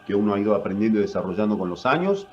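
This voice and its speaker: A man's voice: fairly low pitch, big pitch swings, very fast, highs cut off, faint music behind. A man who works as a voice actor and announcer, with an Argentine accent mixing northern and Córdoba tones, explaining his craft at length with many fillers and tag questions. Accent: Argentine, northern mixed with Córdoba. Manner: explanatory, with frequent fillers and "¿no?" tags. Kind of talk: radio interview guest.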